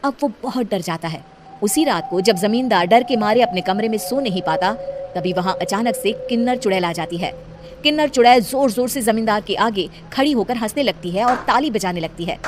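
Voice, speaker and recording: A woman storyteller reading scripted narration for an animated tale. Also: mid-range voice, moderate pace, background noise. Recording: music behind the voice.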